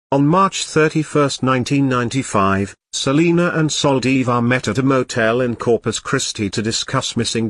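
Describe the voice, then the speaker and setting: A man reading a scripted documentary narration in a measured, even storytelling manner. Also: medium pitch, dry recording, studio quality.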